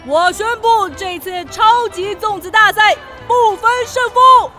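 A performer playing an excitable, showy contest emcee in a children's radio drama, announcing in an exclamatory, theatrical way. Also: very high-pitched, unhurried, faint music behind, some chatter behind, exaggerated voice. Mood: showy, upbeat.

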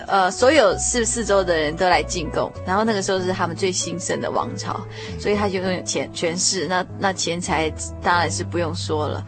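A woman with a Taiwanese Mandarin accent, hosting an interview on a radio programme. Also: fairly low pitch. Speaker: radio host. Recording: music playing behind the voice. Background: music.

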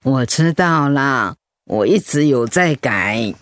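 A woman's voice: extremely low pitch, animated, slow, no echo, clean studio sound, heavily acted. An actress playing an elderly, strong-willed mother who frets over her children in a radio drama. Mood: resigned.

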